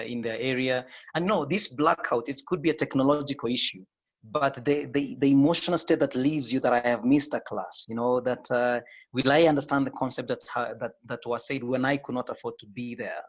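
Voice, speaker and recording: A man, a lecturer and TV host, speaking hoarsely with a flu, hesitant with many fillers and restarts. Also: medium pitch, dull audio. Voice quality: raspy. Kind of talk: panel discussion answer.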